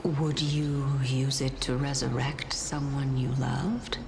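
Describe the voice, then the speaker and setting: A woman's voice: extremely low pitch, level tone, vocal fry, background noise, full character voice. A woman playing a wise, reserved female alchemy scholar in a video game, speaking formally and thoughtfully. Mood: earnest, reserved. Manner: measured, contemplative, formal. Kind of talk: dramatic video game voice acting. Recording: music playing behind the voice. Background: loud background music.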